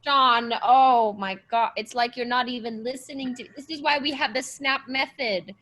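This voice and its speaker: A woman's voice: a little high, steady tone, moderate pace, fairly bright tone. A woman playing a petty, vindictive God who bosses angels around insistently in an improvised comedy. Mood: exasperated, bossy. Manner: bossy, repeats words insistently.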